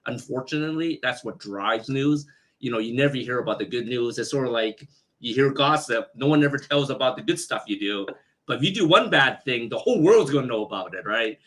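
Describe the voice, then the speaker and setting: A man's voice: mid-range voice, lively intonation, a little fast, fairly bright tone. A man in a mid-range voice talks casually as co-host, now and then restarting his thought. His delivery stays loose and conversational. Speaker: podcast co-host. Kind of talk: casual talk show chat.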